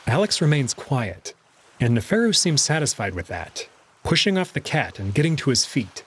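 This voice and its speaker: A man narrating and commenting on a visual novel in an expressive storytelling style. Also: medium pitch, normal speed, husky. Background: music under the voice.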